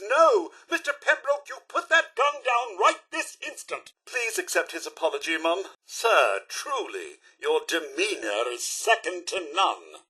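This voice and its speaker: An actor playing a prim, loyal robot butler in a video game, with a British accent, a fussy formal manner and a raspy voice. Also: male voice, sits high, bright, forceful tone, full character voice. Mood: indignant, scandalized. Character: prim, loyal male robot butler, polite and easily scandalized. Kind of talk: video game character voice lines.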